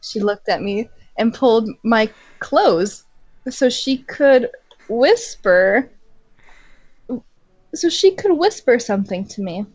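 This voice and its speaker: A woman's voice: on the high side, animated, slow, long pauses, darkish tone, no echo, muffled recording. A young woman reading fan fiction aloud in a mock-dramatic way and adding sarcastic, giggly comments between passages.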